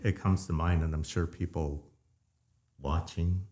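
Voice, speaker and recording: A man hosting a casual podcast interview, asking friendly questions in a halting way, often restarting. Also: sits low, fairly even intonation, warm tone, a little hiss or hum. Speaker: podcast host.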